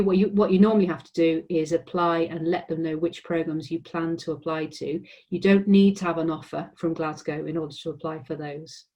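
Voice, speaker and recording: A woman's voice: low-pitched, mellow. A woman with an English accent, a university admissions representative giving an informational presentation with frequent ums and restarts. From England.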